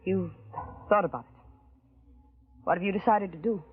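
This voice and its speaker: A woman's voice: mid-range voice, normal speed, slight background noise, lightly acted. An actress playing a troubled, guarded businesswoman in an old radio drama, talking in tense, hesitant, clipped replies. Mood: cold. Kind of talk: 1940s radio acting. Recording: tinny old radio broadcast.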